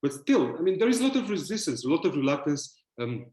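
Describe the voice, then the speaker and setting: A man's voice: fairly high pitch, big pitch swings, quick pace, a little mellow, roomy sound. A man from Romania with a Romanian accent, speaking as a panelist in a virtual panel discussion, thoughtful and hesitant with many fillers and restarts. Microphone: video call.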